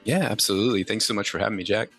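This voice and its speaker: A man in his thirties, a musician guest, answering interview questions in a relaxed, rambling way with many fillers. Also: on the low side, very fast, breathy edge, faint music behind.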